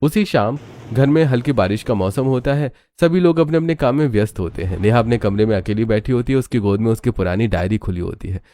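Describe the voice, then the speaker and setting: A man's voice: medium pitch, expressive, brisk, few pauses, mellow. A man giving scripted storybook narration for a family cartoon.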